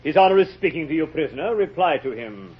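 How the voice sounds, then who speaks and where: A man's voice: fairly high pitch, animated, fast talker, close to an everyday voice. A man playing a stern, formal judge in an old radio drama, speaking with pompous authority. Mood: stern, measured. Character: stern, formal male court judge, courteous yet commanding. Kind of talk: 1930s radio drama acting. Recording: dull old radio recording.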